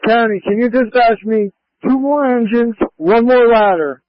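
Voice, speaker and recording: A man working as a fire incident commander, passing brisk orders and updates over a two-way radio. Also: very high voice, no echo. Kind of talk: fireground radio traffic. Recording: muffled lo-fi emergency scanner radio.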